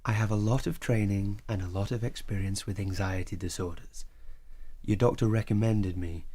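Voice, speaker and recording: Husky, mellow, patient male psychiatrist played by an actor. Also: on the low side, steady tone, close to an everyday voice. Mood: reassuring. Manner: measured, gently probing. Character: patient, probing psychiatrist, calmly reassuring. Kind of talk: audio drama acting.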